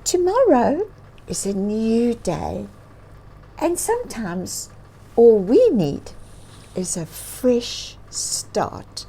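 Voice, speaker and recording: A small, wise storybook bird, played by a woman with a high, lilting voice. She is gentle and encouraging, and her delivery is reassuring and full of movement. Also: huge pitch swings, extremely slow, breathy edge, full character voice.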